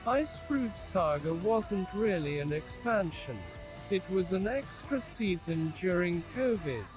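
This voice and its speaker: A man with a high voice speaks slowly in short, informative answers about a game. Music plays clearly behind his words. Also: animated, poor audio. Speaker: stream participant answering the player's questions.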